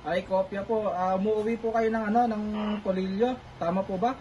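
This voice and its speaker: A man's voice: sits high, fairly even intonation, a little fast, dull audio, heavy background noise. A polite man making a casual two-way radio call as an amateur operator, repeating himself for clarity. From Philippines.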